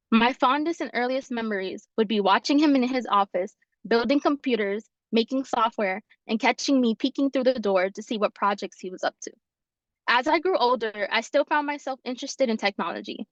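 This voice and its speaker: A woman's voice: on the high side, fairly bright tone, dry recording. Young woman reading her story, lo-fi call. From New York. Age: 20s. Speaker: fellow presenting her story. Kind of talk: reading a prepared personal story. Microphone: video call.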